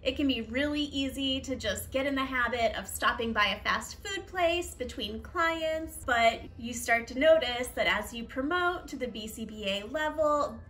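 A woman's voice: high voice, pushed and bright. A young woman giving a chatty, upbeat cooking demonstration in her home kitchen, talking while she prepares food. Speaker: behavior analyst. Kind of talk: cooking demo with tips.

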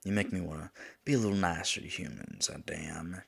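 A man playing a teasing, flirtatious demon lover in an intimate ASMR roleplay, with a drawling manner that drops into breathy murmurs. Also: low-pitched, fairly even intonation, a little fast, exaggerated voice. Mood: earnest, nervous. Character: teasing, flirtatious male demon lover, smug yet smitten.

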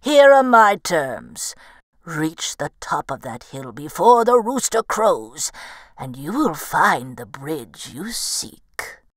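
A woman voicing an ancient, shrewd female woolly mammoth with a slow, drawn-out, imposing delivery in a storybook tale. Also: low voice, big pitch swings, dry recording, pitched below the performer's own voice, heavily acted. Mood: shrewd, bargaining.

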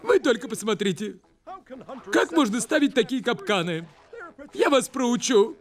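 A man voicing a fussy, dutiful little male sidekick bird in a cartoon, with an excitable, preachy manner. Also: very high voice, measured pace, exaggerated voice. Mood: indignant. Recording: music underneath.

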